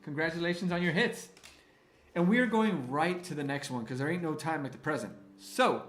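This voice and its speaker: A man from Miami, bilingual with Spanish as his first language, hosting a live-stream card giveaway in an excitable, gushing way, thanking viewers by name. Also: on the high side, fairly quick, a little hiss or hum. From Miami, Florida, US. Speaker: livestream host running a card giveaway. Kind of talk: live-stream chat, counting out picks. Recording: echoey room with faint music.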